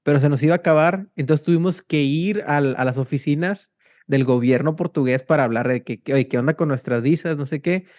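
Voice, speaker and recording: A young man from Mexico, hosting a casual interview and telling stories in a slangy, animated way. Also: a little high, brisk, dry recording. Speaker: podcast host.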